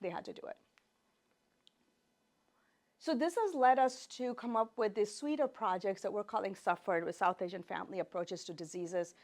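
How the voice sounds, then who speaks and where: A woman's voice: medium pitch, normal speed, long pauses. Woman lecturing, native Gujarati speaker. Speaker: professor, researcher lecturing. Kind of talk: informal academic lecture with slides.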